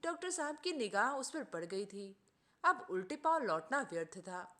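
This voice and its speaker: A woman reading a literary short story aloud as an expressive audiobook narrator. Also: a little high, moderate pace.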